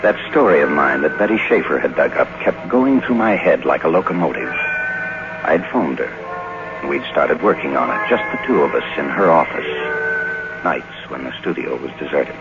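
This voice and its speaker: An actor playing a cynical, world-weary young Hollywood screenwriter in a radio noir drama, wry and laconic. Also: male voice, very deep voice, animated, normal speed, loud music behind the voice. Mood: reflective, wry. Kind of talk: 1950s radio drama acting and noir narration. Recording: dull old radio broadcast recording.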